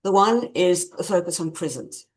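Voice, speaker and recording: A woman speaks in a low register as an author being interviewed. She explains things conversationally and with measured care, leaning on repeated phrases to make a point. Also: lively intonation, darkish tone, poor audio. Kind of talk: conversational interview answers about own book.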